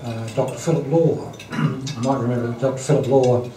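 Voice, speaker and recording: Male host, hesitant and halting, constant ums, roomy hall heard from afar over clinking dishes. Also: medium pitch, steady tone, dark tone, a little hiss or hum. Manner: hesitant, many ums and throat clearing. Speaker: event host introducing a speaker. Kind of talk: halting formal introduction at a lunch. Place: dining hall at a lunch event. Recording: roomy hall, distant mic, clattering dishes.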